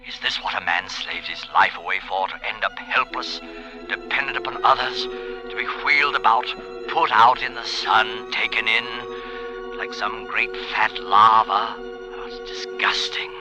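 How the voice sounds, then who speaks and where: A man's voice: lowish voice, over music, natural voice. An actor playing a weary, fatalistic man in his fifties, brooding aloud in a noisy 1940s radio drama. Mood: bitter, grim. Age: 50s. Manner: brooding, dramatic, haltingly musing. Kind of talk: 1940s radio drama acting, interior monologue. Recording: noisy, hissy 1940s radio broadcast recording.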